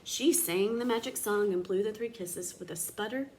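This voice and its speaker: A woman reading a children's storybook aloud in an expressive, dramatic teacher's manner. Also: mid-range voice, normal speed, slight background noise.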